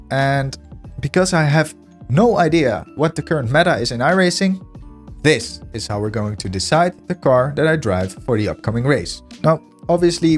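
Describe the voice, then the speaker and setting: Hoarse man narrating sim racing. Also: fairly high pitch. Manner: analytic, self-deprecating. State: hoarse, losing his voice. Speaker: sim racing video host. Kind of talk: commentary over gameplay footage. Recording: voice-over with music underneath. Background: background music.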